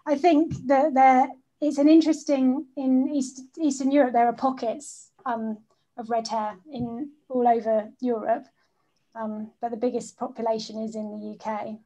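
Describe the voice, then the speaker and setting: A woman's voice: a little high, level tone, normal speed, warm tone, low-quality recording. A woman speaking on a video call as a guest speaker, answering questions in a hesitant, casual way and laughing often. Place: home room indoors.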